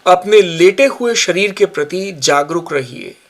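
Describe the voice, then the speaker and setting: A man guiding a yoga nidra relaxation session with slow, calm, measured instructions. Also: sits high. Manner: slow, calm, measured guidance with long pauses. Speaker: yoga teacher.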